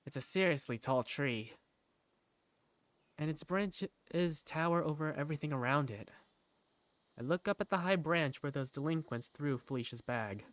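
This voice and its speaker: A woman's voice: low-pitched, expressive, normal speed, dry recording. A woman narrating an amateur visual novel in the first person as its lead character, with a wry, conversational manner.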